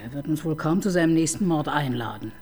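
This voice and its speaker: A woman's voice: deep voice, expressive, fast talker, a little mellow. An actress in her fifties playing a dry, sardonic woman police chief inspector in a radio crime drama. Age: 50s. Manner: dry, sarcastic.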